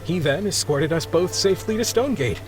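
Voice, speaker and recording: A man's voice: on the high side, lively intonation, fairly quick, lightly acted. An actor playing an embarrassed, grateful married sea captain in a video game, flustered and apologetic as he talks. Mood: rueful. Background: background music.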